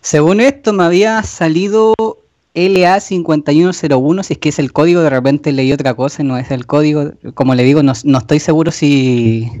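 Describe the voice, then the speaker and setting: A man's voice: a little high, brisk, darkish tone, dry recording, highs cut off. Hesitant Chilean man faking a problem. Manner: hesitant, stalling with fillers. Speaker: prank caller. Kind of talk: prank phone call.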